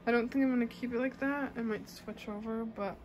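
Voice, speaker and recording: A woman's voice: fairly high pitch, fairly even intonation, brisk, darkish tone, a little hiss or hum. A young adult woman chatting casually as a vlogger in a bedroom at home. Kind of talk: casual vlog chat.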